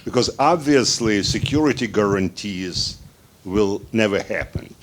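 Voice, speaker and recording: Slow-talking guy in the crowd asking a question, lots of pauses. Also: fairly low pitch, animated, a little hiss or hum. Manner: halting, searching for words. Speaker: audience member asking a question. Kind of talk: audience question. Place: event hall with live audience.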